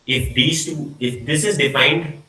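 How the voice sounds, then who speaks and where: A man's voice: on the high side, fairly even intonation, measured pace, sharp tone, distant mic. A young man giving an informal online maths lecture from a small room, repeating words and trailing off as he explains. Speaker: student lecturer. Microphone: laptop mic on video call. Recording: echoey video call audio.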